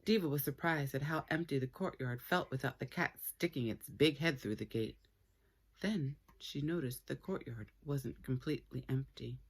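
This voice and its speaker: A woman reading a story aloud for children in an expressive, playful way with dramatic pauses. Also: very deep voice, husky.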